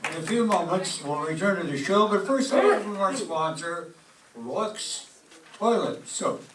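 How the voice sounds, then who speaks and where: A man playing a courtly, flattering gentleman announcer in an old-time radio soap commercial. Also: high-pitched, measured pace, background noise. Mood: smooth flattery. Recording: echoey room, distant mic, music.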